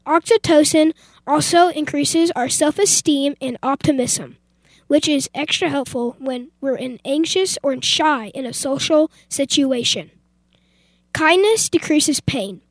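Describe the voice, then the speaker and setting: A woman's voice: sits high, a little slow, no room sound, low-quality recording. A female youth radio presenter reading out scripted facts.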